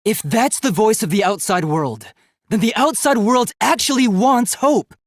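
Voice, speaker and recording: Casting: idealistic young robot for a dramatic game; youthful, faintly husky, formal and emphatic, very animated pitch. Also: male voice, unhurried, no echo, clean studio sound, exaggerated voice. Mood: fierce, defiant. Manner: earnest, formal, emphatic delivery. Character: earnest, determined young male robot, idealistic and emotional. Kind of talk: dramatic video game voice acting.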